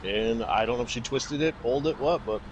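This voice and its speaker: A man's voice: mid-range voice, brisk, low-quality recording. Sweary male storyteller over road noise. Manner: acts out dialogue while telling stories. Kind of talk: casual chat with crude, sweary storytelling.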